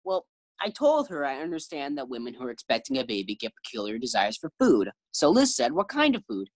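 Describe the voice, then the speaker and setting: A woman's voice: extremely low pitch, sing-song, a little fast, a little hiss or hum. A woman acting an anxious, doting, befuddled husband who stammers, in a 1940s-style radio sitcom.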